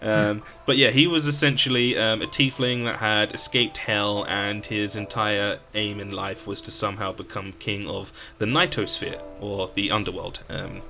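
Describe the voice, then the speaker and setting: Bright-voiced, lower-pitched British man. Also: level tone, normal speed, creaky, hardly any pauses, soft background music. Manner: rambling, storytelling, frequent "um". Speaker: podcast co-host. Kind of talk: casual chat about tabletop games.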